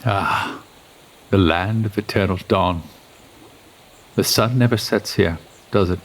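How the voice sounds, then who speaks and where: A man playing a brooding, fiery adventurer in a fantasy audio drama, with a husky voice and a hesitant, halting manner. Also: deep voice. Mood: brooding, wary.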